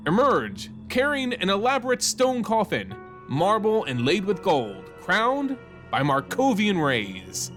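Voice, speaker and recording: A man hosting and narrating fantasy lore in a scripted, theatrical and expressive storytelling style. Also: high voice.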